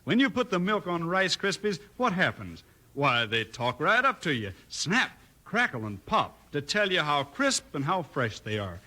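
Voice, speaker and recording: High, animated American male radio announcer. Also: normal speed. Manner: folksy, exuberant, emphatic. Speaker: commercial announcer. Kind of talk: 1950s radio cereal advertising pitch to children. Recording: old 1950s radio recording.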